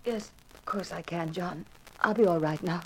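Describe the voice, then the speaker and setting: An actress playing a shaken, sweet damsel in distress in a 1950s radio mystery, hesitant and fragile. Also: female voice, lowish voice, moderate pace, slightly rough, rough recording. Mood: faint, grateful. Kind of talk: 1950s radio drama acting.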